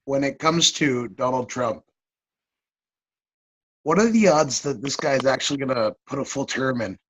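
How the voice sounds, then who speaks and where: A man's voice: mid-range voice, normal speed, fairly bright tone, low-quality recording. A man from the audience casually asking a question in everyday words.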